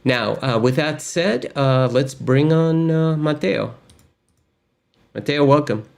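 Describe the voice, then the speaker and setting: A man's voice: on the high side, takes it slowly, vocal fry, a little mellow. Chatty male course host. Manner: chatty, friendly, many fillers. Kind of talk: casual livestream lesson intro.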